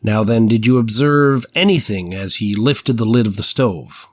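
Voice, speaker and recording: A man's voice: fairly low pitch, normal speed, no echo, studio quality, lightly acted. A man playing a methodical, firm prosecuting attorney who puts formal, probing questions in a courtroom reenactment.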